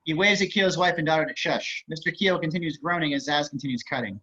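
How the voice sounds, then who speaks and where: A man's voice: on the high side, fast talker, sharp tone, slightly rough. A man reading screenplay action as scripted narration over a video call. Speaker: stage directions reader. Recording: slightly muffled video-call audio.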